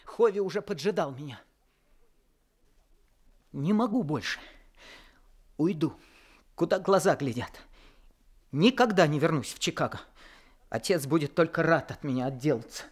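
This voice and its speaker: Teen boy narrator, high, earnest; old radio drama. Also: expressive, moderate pace, long pauses. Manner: earnest storytelling. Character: teenage boy narrating his story, restless. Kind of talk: 1960s Soviet radio drama acting.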